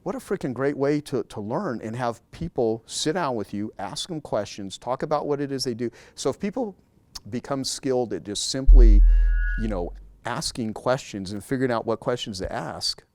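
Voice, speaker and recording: A man's voice: mid-range voice, lively intonation, normal speed, darkish tone, a little hiss or hum. A man speaking as a guest in a casual interview, talking emphatically and repeating key words to drive a point home.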